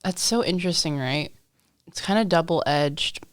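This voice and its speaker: A woman of twenty-five, a guest musician, musing reflectively in a casual podcast chat, trailing off in thought, on a studio boom mic. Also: normal speed, no echo. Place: small decorated podcast studio. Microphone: studio boom mic with headphones.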